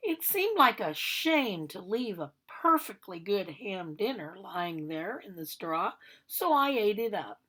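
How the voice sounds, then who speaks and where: Storybook big bad wolf, played by a woman: chatty, aggrieved, theatrical, highly animated, unhurried delivery. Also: husky, full character voice. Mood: self-justifying, dramatic. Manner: chatty, theatrical, protesting innocence. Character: aggrieved, self-justifying male big bad wolf telling his story. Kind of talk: animated storybook read-aloud.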